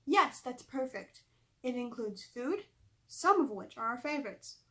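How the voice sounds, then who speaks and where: A woman's voice: fairly high pitch, very animated, measured pace, bright, forceful tone, exaggerated voice. A woman voicing curious, eager young girls in a storybook read-aloud.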